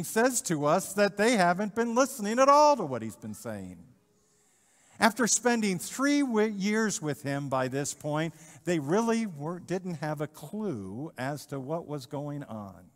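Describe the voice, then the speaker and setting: Slow, measured middle-aged pastor preaching. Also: male voice, a little high. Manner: animated, gesturing, rhetorical questions and repetition. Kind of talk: sermon. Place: church sanctuary, in front of the altar. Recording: church livestream with long reverb.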